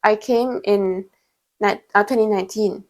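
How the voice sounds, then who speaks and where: Measured young woman from Thailand. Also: medium pitch, fairly even intonation. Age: young adult. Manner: thoughtful, measured, with soft fillers. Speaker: interview guest. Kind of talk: casual interview answers. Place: indoors in China.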